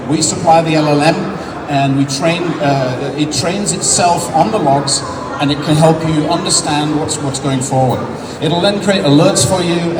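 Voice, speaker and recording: An English man giving a casual, explanatory talk at a tech conference, with many restarts as he demos software. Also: on the high side, noisy background. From England. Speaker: conference presenter. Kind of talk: live tech demo talk. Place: large conference hall. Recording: echoey hall recorded from far off with loud ambience.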